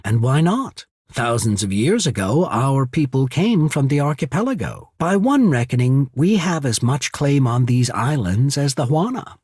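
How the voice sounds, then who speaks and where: A man playing a calculating imperial diplomat in a video game, speaking in a measured, formal, persuasive manner with a husky voice. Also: medium pitch, a little mellow, no echo, studio quality. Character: smooth, calculating male diplomat of an imperial trading power. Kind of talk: scripted video game character dialogue.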